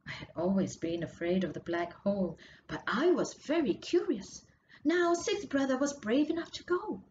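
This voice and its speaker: A woman's voice: fairly high pitch, big pitch swings. Woman storyteller, muffled noisy recording. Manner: measured, dramatic, drops to hushed tones for suspense. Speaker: author reading their own story. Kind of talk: expressive storytelling narration of a book excerpt. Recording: muffled audio with clear background noise.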